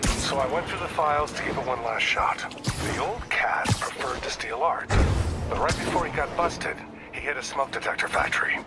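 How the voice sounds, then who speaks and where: An actor playing a plainspoken, weary older American police detective close to retirement in a video game. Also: male voice, mid-range voice, very animated, moderate pace, loud music behind the voice. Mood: businesslike. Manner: plainspoken, matter-of-fact.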